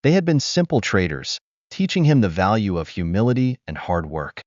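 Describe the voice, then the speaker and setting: A man narrating a scripted moral tale in a smooth, expressive storytelling manner. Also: on the low side, normal speed, dry recording. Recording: dull dry recording.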